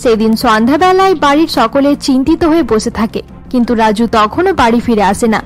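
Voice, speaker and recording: A woman's voice: on the high side, lively intonation, fairly quick. Woman narrating over faint music. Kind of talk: scripted story narration for a cartoon.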